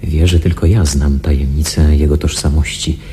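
Dark, low-voiced wary investigator. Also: male voice, steady tone, husky, full character voice. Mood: suspicious. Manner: measured, questioning. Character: wary, thoughtful undercover investigator, a man. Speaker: actor in a radio drama. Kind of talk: radio drama acting. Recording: noisy recording with background ambience.

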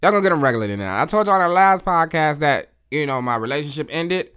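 A man in his thirties talking alone on his podcast, blunt and profane, repeating phrases as he tells stories, recorded on a studio boom mic in a small room. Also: expressive, quick pace, fairly bright tone, smooth. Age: 30s. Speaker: podcast host. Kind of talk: casual solo podcast monologue. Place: small indoor studio with photo wall. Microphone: studio mic on boom arm, headphones on.

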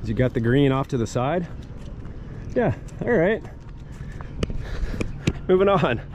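A man's voice: a little high, big pitch swings, takes it slowly. A man in his forties chatting casually and loosely to an action camera held at arm's length while walking outdoors. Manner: chatty, upbeat, loose and rambling. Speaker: travel vlogger. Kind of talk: casual walk-and-talk vlog. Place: busy city streets and an empty riverside boulevard, outdoors, hot day. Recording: loud outdoor street noise.

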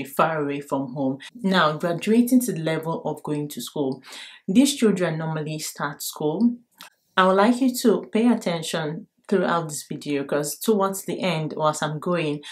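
A young Nigerian woman giving casual advice to camera, direct and emphatic, addressing viewers familiarly. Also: low-pitched. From Lagos, Nigeria. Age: young adult. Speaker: vlogger. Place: bedroom at home.

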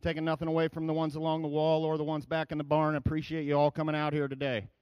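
A man's voice: a little high, monotone, fast, clear, resonant tone, dry recording, dull audio. A man judging a cattle show, giving his reasons off the cuff in a plain-spoken way, with fillers, in a large indoor arena.

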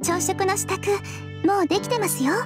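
Sweet, courteous anime schoolgirl, very high and soft, caring, over game music. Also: moderate pace. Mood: gently insistent. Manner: soft, polite. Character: gentle, polite, caring teenage schoolgirl. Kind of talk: visual novel voice acting.

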